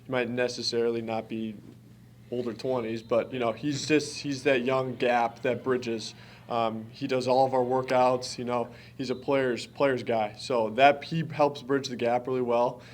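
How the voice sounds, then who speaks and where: A man's voice: mid-range voice, steady tone, a little slow, slight background noise. A young man from Wisconsin, a college basketball player, answering press conference questions in an earnest, informal way. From Wisconsin, United States. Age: early twenties.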